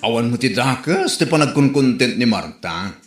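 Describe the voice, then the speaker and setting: A man with an Ilocano Filipino accent playing an excitable, supportive friend and husband in a comedy audio drama. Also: medium pitch, normal speed, music in the background, lightly acted. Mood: scolding, defensive. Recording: roomy, echoing home recording.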